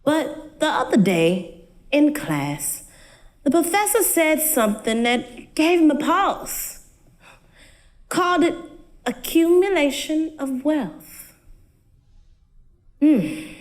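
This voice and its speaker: Woman acting a high-voiced, slow college girl. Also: very animated, full character voice. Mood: reflective realization. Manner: folksy, earnest, pausing storyteller. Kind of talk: dramatic monologue on stage.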